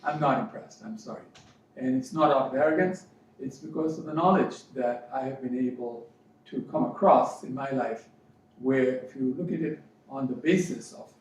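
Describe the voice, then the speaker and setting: A man from Fiji, educated in New Zealand, gives a motivational spiritual talk as a coach, speaking deliberately with emphatic repetition. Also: medium pitch. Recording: very echoey room, distant mic, dull sound, faint noise.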